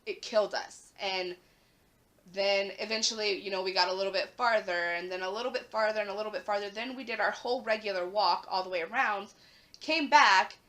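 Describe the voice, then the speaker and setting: A young woman vlogging casually to her camera at home, her voice raspy and a little hoarse after the flu, chatting with plenty of "like" fillers. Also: mid-range voice, brisk, sharp tone. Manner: chatty, lots of "like" fillers, rising emphasis.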